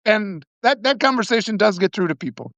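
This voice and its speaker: A man's voice: high voice, expressive, a little fast, a bit bright, dry recording. A man with an Upper Midwest accent, co-hosting a podcast in a heated, profane political rant. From Wisconsin. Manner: heated, profane, acts out quoted exchanges. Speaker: podcast co-host. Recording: slightly dull lo-fi dry sound.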